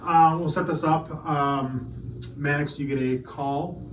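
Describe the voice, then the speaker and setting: A man running a live tabletop game in a casual, halting way, often breaking into a laugh. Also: on the high side, steady tone. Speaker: game master. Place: indoor room at a holiday gathering. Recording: roomy, distant mic, loud background noise.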